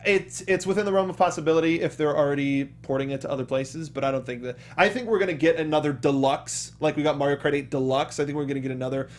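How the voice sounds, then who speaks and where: A man's voice: fairly high pitch, quick pace, hardly any pauses. A man hosting a casual panel chat about video games, giving eager opinions with hesitant restarts. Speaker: show host.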